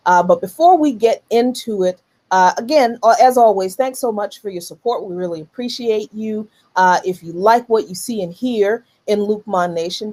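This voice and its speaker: A woman's voice: mid-range voice, darkish tone. Female talk show host, mid-range voice, earnest and emphatic, conversational live current events presenting. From United States.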